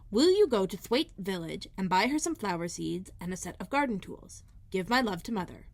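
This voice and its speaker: A woman's voice: mid-range voice, big pitch swings, normal speed. A woman voicing a friendly, helpful young housemaid with old-fashioned rustic phrasing in a storybook.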